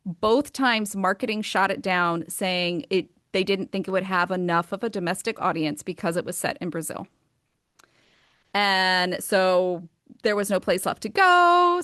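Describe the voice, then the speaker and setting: An American woman in her thirties chats as a guest about her writing, eager and upbeat, with plenty of "um" and "like" scattered through her talk. Her voice sits at an ordinary middle pitch. It is recorded close and dry, with no room sound around it. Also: a bit bright, no room sound. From United States. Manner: enthusiastic, chatty, many fillers like um and like. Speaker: author and guest. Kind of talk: casual interview conversation.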